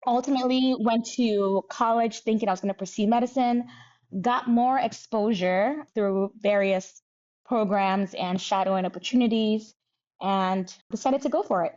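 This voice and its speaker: A woman's voice: mid-range voice, normal speed, darkish tone, highs cut off. A woman in her thirties, originally from Nigeria, talking as a guest physician in an interview, thoughtful and candid. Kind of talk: conversational interview.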